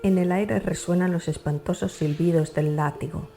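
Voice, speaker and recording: Female audiobook narrator, deep and mellow, scripted literary reading over faint music. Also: steady tone, normal speed, vocal fry.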